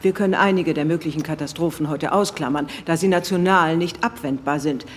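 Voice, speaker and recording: An actress playing a stern, authoritative British prime minister in a German radio play. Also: female voice, sits low, fairly quick, long reverb tail, slight background noise, close to an everyday voice. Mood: businesslike.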